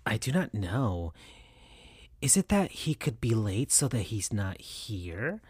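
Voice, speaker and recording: A flirty female bat speaks softly and sadly in a subdued voice, as a man reads her out. The pace is slow and the sound is dry. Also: mid-range voice, animated, slightly rough, no echo.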